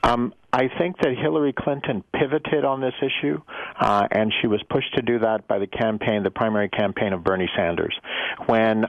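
A man speaking as a professor guest, explaining politics in a measured, articulate and emphatic way. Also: lowish voice, dry recording. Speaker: professor guest on call-in show. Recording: dull lo-fi radio sound.

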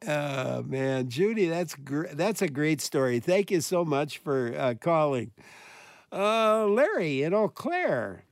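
A man with a slightly raised pitch hosts a call-in radio show. He takes callers in a friendly way and fills his pauses with "uh." The studio sound is dry and close. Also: very animated, dry recording. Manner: friendly, many filler "uh"s.

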